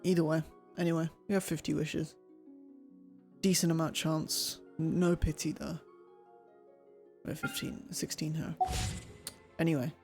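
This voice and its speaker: Male game streamer, fairly flat and gravelly, offhand fragmentary commentary. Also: a little high, measured pace, pauses often. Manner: muttered, fragmentary thinking aloud. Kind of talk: casual gameplay commentary. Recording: stream mic under loud game music.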